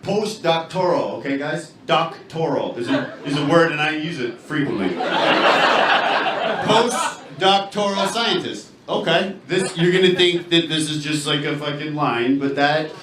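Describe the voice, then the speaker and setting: High-voiced male stand-up comic working the crowd. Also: animated. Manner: halting, teasing back-and-forth with audience. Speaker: stand-up comedian. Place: comedy club stage with audience laughter. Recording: roomy live club, loud crowd noise.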